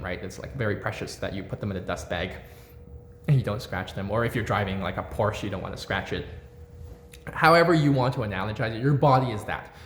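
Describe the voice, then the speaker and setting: A man in his forties talking casually to his viewers, animated and repeating words, with frequent fillers and tag questions. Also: medium pitch. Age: 40s. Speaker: vlogger. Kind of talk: casual monologue to camera. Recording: echoey room.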